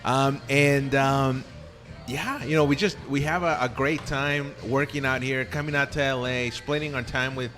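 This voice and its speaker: Young male podcast host from Southern California, easygoing and rambling, mid pitch, recorded in a cafe with faint music. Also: steady tone, moderate pace, a bit bright. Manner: easygoing, laughs often, rambling. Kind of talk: casual interview chat.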